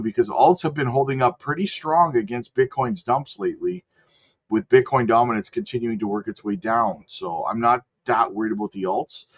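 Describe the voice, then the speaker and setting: A man with a cold, host of a channel, casually talking through market charts and hedging his calls, clearing his throat now and then. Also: medium pitch, a bit bright. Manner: rattles off lists, chatty and hedging. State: sick with a cold. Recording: slightly dull lo-fi audio.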